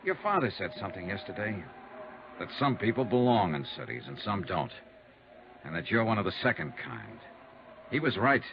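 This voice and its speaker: Tough tender american radio detective, low voice, swoopy earnest delivery, old muffled recording w music. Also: male voice, sing-song, normal speed, gravelly, noisy background. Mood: urgent, challenging. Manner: earnest, persuasive, dramatic. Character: steady, tough, tender male investigator hero, narrating his story. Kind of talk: 1950s radio drama acting.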